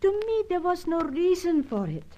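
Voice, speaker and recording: An actress playing a distressed, fearful European widow who confides in formal, careful, melodramatic phrases in a radio adventure serial. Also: female voice, extremely high pitch, big pitch swings, moderate pace, mellow, highs cut off. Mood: tense, confiding.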